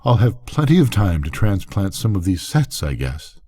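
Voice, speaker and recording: A man's voice: on the low side, very animated, normal speed, warm tone, breathy edge. An older man voicing a proud, slightly smug farm wife in a storybook, with an emphatic, lilting delivery. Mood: agreeable. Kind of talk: bedtime storybook character voice.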